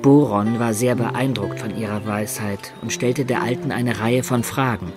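An actor playing an adventurer who recounts his journey in a radio drama, in measured literary narration. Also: medium pitch, steady tone, close to an everyday voice. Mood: composed. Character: male adventurer recounting his long journey, composed storyteller. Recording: faint music under the narration.

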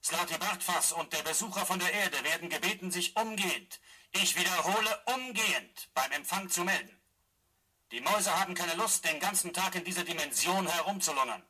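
A man playing a brusque, impatient announcer over a public address system in a radio drama, clipped and insistent, sounding like a distant loudspeaker. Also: sits high, fairly quick, husky, heavily acted. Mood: impatient, officious.

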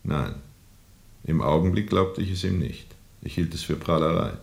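An actor playing a grave, seasoned sea captain who recounts a jungle river journey in a deliberate, brooding way for a radio drama. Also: male voice, sits low, gravelly. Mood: sombre testimony. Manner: deliberate, brooding, measured storytelling. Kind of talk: radio drama narration, recounting under questioning.

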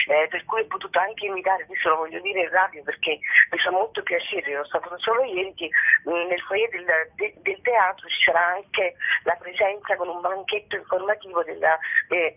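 A woman speaking haltingly as a guest in a spontaneous radio interview, searching for her words with drawn-out pauses. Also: fairly low pitch, husky. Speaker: playwright and actress, interview guest. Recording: narrow, tinny telephone line.

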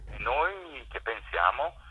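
A man, a theatre maker giving a radio interview by phone with emphatic, deliberate phrasing over a muffled line. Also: medium pitch, sing-song, husky.

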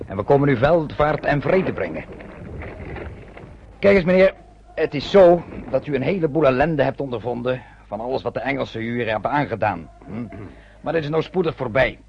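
Genial German sergeant, noisy old recording. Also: male voice, mid-range voice, expressive, moderate pace, creaky. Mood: affable, persuasive. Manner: chummy, coaxing, talks in reassuring little questions. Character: genial, paternal German army sergeant, confident occupier. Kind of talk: radio play acting. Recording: noisy, dull old radio play recording.

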